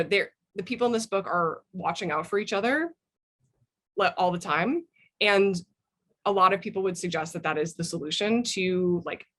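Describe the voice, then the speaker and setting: A woman's voice: on the low side, a bit bright. A woman speaking as a guest author, giving thoughtful answers in a book interview.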